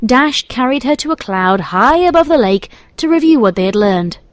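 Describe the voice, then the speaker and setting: A woman's voice: high-pitched, expressive, moderate pace, slight background noise. A woman reading scripted story narration as the narrator of a tale.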